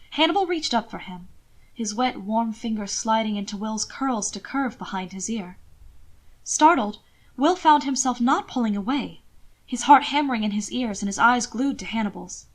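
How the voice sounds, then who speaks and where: A woman's voice: a little high, lively intonation, normal speed. A woman reading scripted romantic fiction expressively, as an audiobook narrator.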